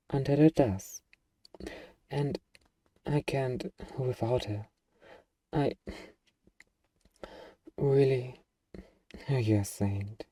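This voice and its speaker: A man playing a gruff, defensive young zombie-apocalypse survivor with anxiety underneath, in an ASMR roleplay. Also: mid-range voice, warm tone, no room sound, far from the mic, close to an everyday voice.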